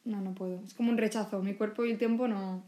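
Relaxed Spanish woman, echoey room. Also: medium pitch, fast. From Valencia, Spain. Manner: relaxed, rambling, self-mocking. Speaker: podcast co-host. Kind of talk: casual chat between friends.

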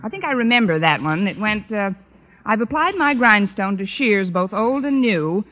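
An actress playing a wry, wisecracking schoolteacher with dry, sardonic delivery, in old-time radio comedy style. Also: female voice, medium pitch, sing-song, moderate pace, natural voice. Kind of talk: 1940s-50s radio comedy acting. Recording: muffled old radio recording.

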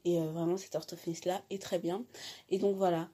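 A teenage girl, a high school student, telling her own story haltingly with many fillers and restarts. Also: little pitch movement, mellow, husky. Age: teens. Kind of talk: personal testimony.

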